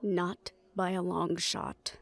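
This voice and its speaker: A woman's voice: lowish voice, flat delivery, slow, vocal fry, soft background music, heavily acted. A woman playing a blunt, sarcastic and fiercely loyal friend in an audio drama. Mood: indignant.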